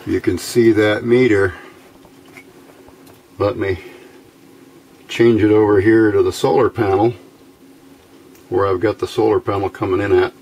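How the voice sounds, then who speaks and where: Low-pitched man, hands-on demo. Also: fairly even intonation, measured pace, pauses often, darkish tone, husky, low-quality recording. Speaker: host demonstrating homemade radio gear. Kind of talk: casual hands-on demonstration. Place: small indoor workbench by a window. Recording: faint background noise.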